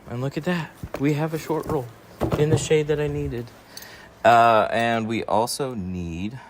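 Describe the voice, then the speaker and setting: A man's voice: on the low side, noisy background. A man hosting a casual livestream, answering viewer questions while he tints a truck in a workshop. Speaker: window tint installer hosting a livestream.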